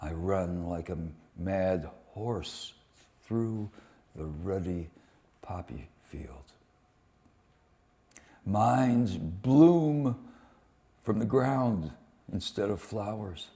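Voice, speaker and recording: Slow, deliberate man reading poetry. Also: low voice, expressive, warm tone. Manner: slow, deliberate delivery in short phrases with weighted pauses. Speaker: poet reading translated war poems at a poetry event. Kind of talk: dramatic live poetry reading.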